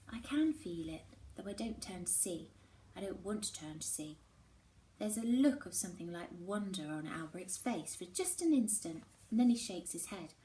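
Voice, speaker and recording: An echoey home-style recording with faint background noise. A woman with a mid-pitched voice reads a storybook aloud like a teacher, telling it expressively. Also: a little mellow. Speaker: primary school teacher reading a novel aloud. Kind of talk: expressive storybook read-aloud with acted dialogue.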